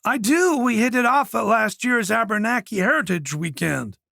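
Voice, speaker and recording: A jittery young man who keeps pleading, his voice pitched well up and pushed forward with nervous energy, as a male narrator puts him on. The tone has a gravelly, husky edge, recorded close and dry. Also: expressive, moderate pace, sharp tone, dry recording, heavily acted. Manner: anxious, higher and whiny.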